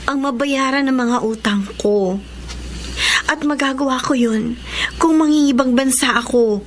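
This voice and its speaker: A woman's voice: high-pitched, a little slow, a little hiss or hum. A woman playing a grieving, humble but resolved young orphan in a Filipino drama, speaking in a plaintive, earnest way. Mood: gently firm. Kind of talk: Filipino radio-style drama acting.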